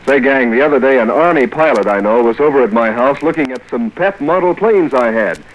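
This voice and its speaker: An American man announcing and reading a chummy scripted sales pitch in 1940s radio style. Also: lively intonation, brisk. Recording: dull, band-limited old radio show record.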